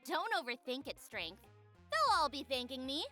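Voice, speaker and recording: A woman voices a cocky schoolgirl with a high, bright voice and lively swings in pitch. She is forceful, self-assured and quick to get exasperated, in a game-dialogue style over music. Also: exaggerated voice. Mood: cocky, insistent. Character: brash, cocky middle-school girl with a short temper. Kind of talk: animated visual novel character acting.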